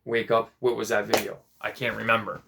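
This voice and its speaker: A man in his late twenties talking in a casual personal vlog, rambling and halting, with many restarts and fillers. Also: fairly low pitch, completely flat delivery, creaky, noisy background. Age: 20s. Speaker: vlogger.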